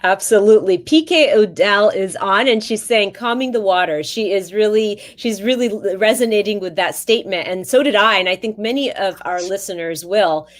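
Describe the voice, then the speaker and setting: A woman's voice: mid-range voice, moderate pace, hardly any pauses. A woman hosting a podcast, talking casually in an enthusiastic, affirming way with frequent restarts. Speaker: podcast host. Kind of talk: casual conversational podcast talk.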